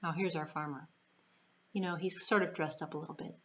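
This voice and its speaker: A woman's voice: low voice, steady tone, a little fast, a bit bright, lo-fi sound, slight background noise. A woman telling a puppet show story to young children, in simple phrases with spoken sound effects.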